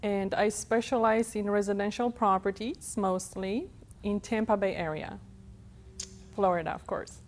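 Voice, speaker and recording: A woman in her thirties who grew up in Poland and speaks with a Polish accent. She answers as a studio interview guest in the role of real estate broker, at a medium pitch. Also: fairly even intonation, a little slow.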